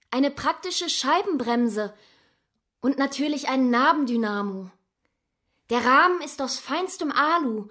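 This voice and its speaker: A chatty, oblivious girlfriend hosting dinner in a radio play. Her voice is high, and she speaks in a theatrical, emphatic way. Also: pushed and bright, roomy sound. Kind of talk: radio drama acting.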